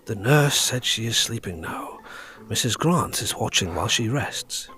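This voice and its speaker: An actor playing a learned, excitable doctor with a pedantic, emphatic, theatrical way of speaking in a period gothic audio drama. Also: male voice, fairly low pitch, measured pace. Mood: quiet, watchful. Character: learned, excitable male doctor pondering mysteries.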